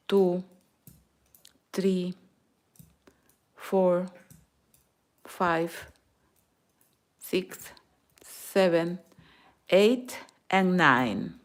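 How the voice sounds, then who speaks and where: Slow-talking Peruvian woman teaching knitting. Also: on the low side. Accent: Peruvian Spanish. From Peru. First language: Spanish. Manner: slow, patient, counts stitches aloud; mixes in Spanish words. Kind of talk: knitting tutorial.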